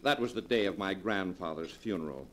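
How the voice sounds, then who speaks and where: An actor playing a scheming nephew in a 1940s radio drama, speaking in a suave, mocking way. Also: male voice, low-pitched, brisk, a bit bright. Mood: sardonic. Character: scheming nephew, smooth and sardonic. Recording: old radio broadcast recording.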